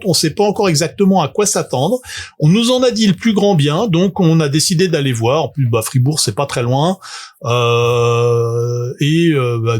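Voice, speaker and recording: A man, rambling through a casual livestream full of fillers and self-mocking asides. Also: on the high side. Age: 40s. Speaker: tabletop game author and streamer.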